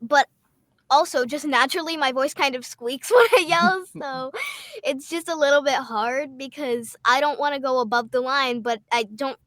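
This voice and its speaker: A woman voice actor with a high, squeaky voice, chatting on a video call. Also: normal speed, fairly bright tone, no room sound. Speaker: voice actor guest. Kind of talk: casual video call interview. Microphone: home booth microphone.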